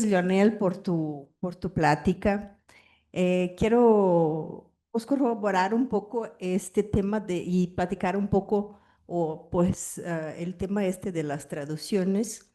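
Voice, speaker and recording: Lady speaking spanish on a panel, slow and hesitant, warm low-key voice. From Brazil. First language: Portuguese. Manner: hesitant, searching for words with many fillers. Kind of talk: panel discussion.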